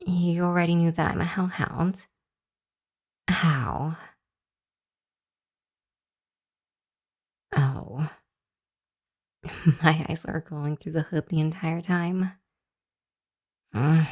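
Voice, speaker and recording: Female actor for nervous hellhound girl, ASMR monster roleplay. Deep for a girl, slow, apologetic, hesitant. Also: darkish tone, breathy edge, full character voice. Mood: sheepish, puzzled. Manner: hesitant, sheepish, stammering. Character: nervous, apologetic young hellhound girl seeking work as familiar. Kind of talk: ASMR roleplay addressed to the listener.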